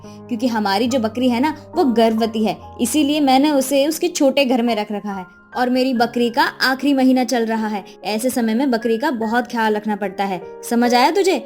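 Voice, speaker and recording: Casting: woman playing elderly village matriarch, fussy and hardworking, high-pitched, nagging, for animated moral tale, music underneath. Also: natural voice. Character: elderly village mother-in-law, hardworking, fussy, doting on goat. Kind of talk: Hindi animated moral story dialogue.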